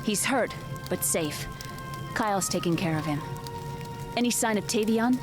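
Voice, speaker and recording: A woman's voice: medium pitch, expressive, unhurried, lightly acted. An actress playing a young, headstrong female Jedi in a video game, earnest and firm in her delivery. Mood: sincere, calm. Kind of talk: dramatic video game cutscene acting. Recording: music behind the voice.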